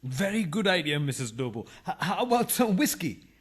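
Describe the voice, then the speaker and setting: Male actor as hapless impostor husband, high voice, animated, plaintive, cheeky, radio comedy. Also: a little fast, slightly rough. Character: hapless, cheeky intruder reluctantly posing as a husband. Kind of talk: radio farce acting.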